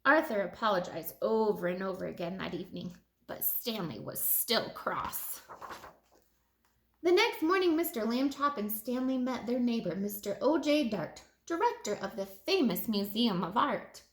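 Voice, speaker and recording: High, very animated woman storybook reader. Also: moderate pace, echoey room. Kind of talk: animated read-aloud children's story narration.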